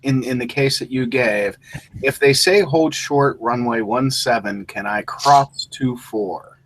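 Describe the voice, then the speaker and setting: A man's voice: mid-range voice, slow, a little hiss or hum, poor audio. A man speaking as a co-instructor in an informal lesson, explaining things in a conversational way. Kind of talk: informal live lesson discussion.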